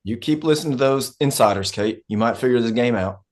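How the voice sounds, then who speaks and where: A man playing a gruff, sarcastic, profane oilfield fixer in a script read, talking in a blunt, folksy way. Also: medium pitch, fairly quick, darkish tone, natural voice. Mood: scornful.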